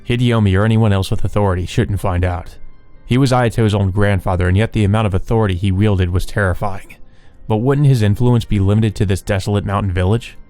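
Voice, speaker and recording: A man hosts a let's play, reading visual novel narration aloud. His voice sits fairly low, and music plays behind it. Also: steady tone, brisk, dark tone.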